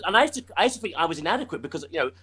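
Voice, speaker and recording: Animated male singer, lively chatty. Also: fairly high pitch, racing. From United Kingdom. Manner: rambling, repeats words, laughs often. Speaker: singer, interview guest. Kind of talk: casual conversational interview.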